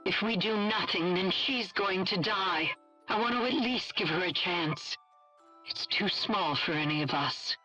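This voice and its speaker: A woman's voice: mid-range voice, unhurried, sharp tone. An actress playing an earnest, urgent scientist mother who heads a laboratory in a dramatic video game. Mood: desperate. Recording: dull lo-fi sound, music underneath.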